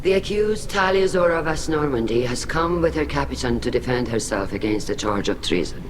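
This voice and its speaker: A man voices a grave alien elder presiding over a tribunal in a video game. His mid-pitched delivery is formal, measured and ceremonial, with clear background ambience behind it. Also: animated, noisy background, lightly acted. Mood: formal, solemn.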